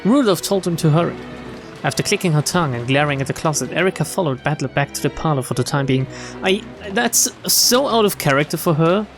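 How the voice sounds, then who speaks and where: A man streaming a visual novel, reading it aloud with expressive, casual commentary. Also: fairly high pitch, fairly quick, faint music behind. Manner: expressive reactions, casual swearing. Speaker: game streamer.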